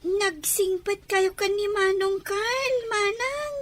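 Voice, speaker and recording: A woman's voice: extremely high pitch, slow, a bit bright, full character voice. A woman playing a young, tearful schoolgirl in a radio-style drama, anxious about her older sister. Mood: anxious, pleading. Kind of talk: Ilocano radio drama acting.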